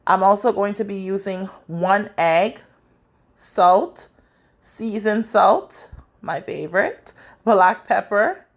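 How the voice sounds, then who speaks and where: A woman's voice: medium pitch, expressive, slow. A woman from the Bahamas with a Bahamian accent, hosting a home cooking tutorial in her kitchen and chatting directly to her viewers. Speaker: cooking channel host.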